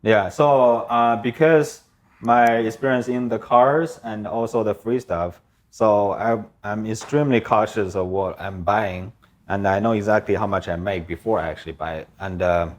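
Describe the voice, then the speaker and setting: A man's voice: fairly low pitch, moderate pace. A man in his thirties from China with a Chinese accent, speaking plain, slightly broken English as an interview guest. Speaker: guest, land investor. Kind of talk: casual interview.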